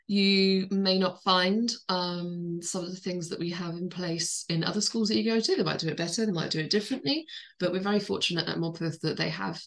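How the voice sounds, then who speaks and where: Woman teacher, unwell and croaky, lowish gravelly voice, earnest and chatty with lots of ums, giving a training talk. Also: fairly bright tone. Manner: informal, earnest, many fillers. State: croaky voice from illness.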